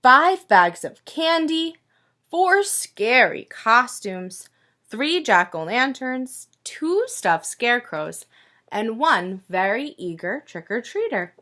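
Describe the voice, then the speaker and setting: Slow, animated woman reading to children. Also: a little high. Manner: expressive, sing-song counting, addresses listeners with questions. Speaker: teacher reading aloud to young children. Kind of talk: children's picture book read-aloud with asides and questions.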